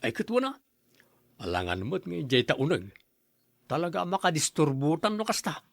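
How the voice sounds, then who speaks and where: A man's voice: a little high, very animated, normal speed, creaky, dry recording. A man playing a henpecked, hard-drinking husband in an audio drama, grumbling and protesting in a defensive manner. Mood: sociable. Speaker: voice actor in a drama. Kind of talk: Ilocano audio drama acting.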